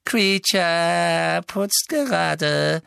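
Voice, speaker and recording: Muttering, servile elf, pitched high. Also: male voice, very slow, vocal fry, bright, forceful tone, clear, resonant tone, dry recording, studio quality, heavily acted. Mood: servile, evasive. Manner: muttering asides, speaks of himself in third person. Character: servile, bitter old male house-elf, muttering resentfully.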